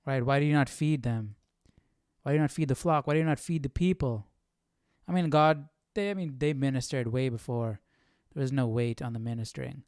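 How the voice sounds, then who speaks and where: Casual man with clear, dry voice. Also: medium pitch, fairly quick, darkish tone, no echo. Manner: informal, thinking aloud. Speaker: livestream host. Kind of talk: casual chat, commenting on a Bible reading.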